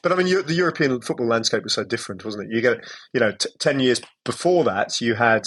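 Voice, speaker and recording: A man's voice: mid-range voice, animated, quick pace, a bit bright. A man from Britain, a former football commentator, giving opinionated, rambling answers as a podcast guest. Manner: opinionated, digressive, many restarts and "you know". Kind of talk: casual conversational interview.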